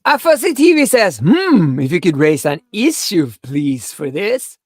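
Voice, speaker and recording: A woman's voice: fairly low pitch, big pitch swings, measured pace, no echo, clean studio sound. A woman chatting casually as a co-host on a live stream, reading out and reacting to comments. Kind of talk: casual chat.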